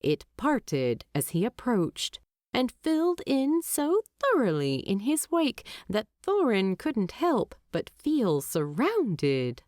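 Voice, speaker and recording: A woman narrating fiction from a script as an audiobook narrator, in a measured, expressive storytelling manner. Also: a little high, no echo, studio quality.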